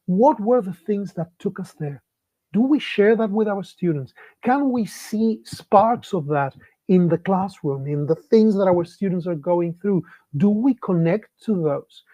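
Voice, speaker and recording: A man's voice: high voice, dark tone, no echo, lo-fi sound. A middle-aged man from Argentina, a Spanish speaker, giving an online talk to English teachers in a reflective way, posing many rhetorical questions.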